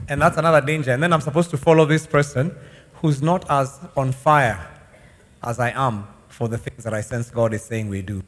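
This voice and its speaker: A man speaking as a pastor hosting a discussion, conversational and encouraging toward those who share. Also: medium pitch. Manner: affirming, encouraging. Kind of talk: conversational preaching. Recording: reverberant hall PA sound.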